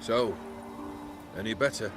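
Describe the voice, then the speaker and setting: An actor playing a weary, remorseful fallen nobleman with wry wit in a video game, speaking in a measured, theatrical, eloquent way. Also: fairly low pitch, music in the background, lightly acted. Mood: wry, relieved. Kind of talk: dramatic video game acting.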